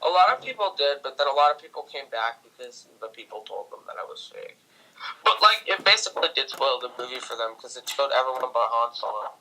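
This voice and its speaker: A man in a casual movie debate, speaking argumentatively and quick to contradict. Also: medium pitch, expressive, bright, forceful tone, rough recording. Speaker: guest co-reviewer.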